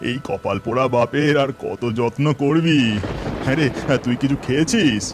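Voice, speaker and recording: A man playing a weary, tender village father in a cartoon, speaking slowly. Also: mid-range voice, animated, close to an everyday voice. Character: sick, weary, tender village father; also gruff, haughty landlord. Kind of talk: Bengali cartoon voice acting. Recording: loud music under the voice.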